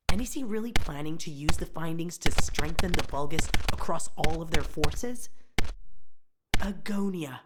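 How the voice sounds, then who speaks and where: A grim, stubborn soldier in a video game, pitched on the low side. She speaks tersely and broodingly, as if reasoning through a grave problem by herself. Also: very noisy. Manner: terse, brooding, thinking aloud. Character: driven female soldier investigating a toxin, stubborn and grim. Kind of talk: dramatic video game voice acting.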